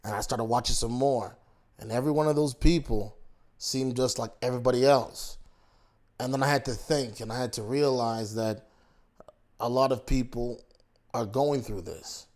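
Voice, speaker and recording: A man's voice: medium pitch, moderate pace, darkish tone. A man giving a casual monologue as a YouTube commentator, reflective, posing rhetorical questions to the viewer and circling back over his own words. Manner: talks in loose restarts and repeats himself.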